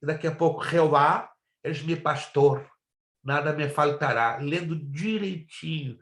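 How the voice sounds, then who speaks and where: A Brazilian man, native in Spanish, teaches a class in the manner of a pastor. His voice sits fairly high for a man and carries a roomy echo. He is emphatic, repeating key phrases and pressing listeners with direct questions. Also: unhurried, fairly bright tone. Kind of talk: preacherly lesson with personal testimony. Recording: roomy, echoing sound.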